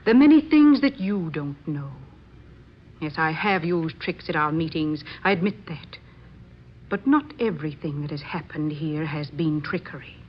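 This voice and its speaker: An actress playing a haughty, selfish wife and séance medium, measured and condescending in old-time radio drama style. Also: female voice, deep voice, expressive. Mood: lofty defiance. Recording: muffled, faintly noisy old radio recording.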